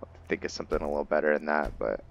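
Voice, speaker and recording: A man giving casual let's-play commentary and roleplay narration in a halting, musing way, often correcting himself. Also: rumbling low, vocal fry, dull audio, slight background noise. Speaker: host of a roleplay gaming series.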